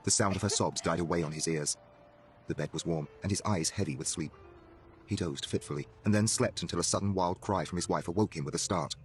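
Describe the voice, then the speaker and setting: A man's voice: low voice, fairly quick, slight background noise. A man narrating a horror story in a dramatic, scripted reading style. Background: music.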